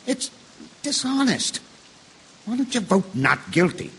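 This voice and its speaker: A man playing an excitable, indignant, self-righteous juror in a stage drama, stammering and emphatic as he defends himself. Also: high-pitched, slow, big-room sound, a little hiss or hum, exaggerated voice.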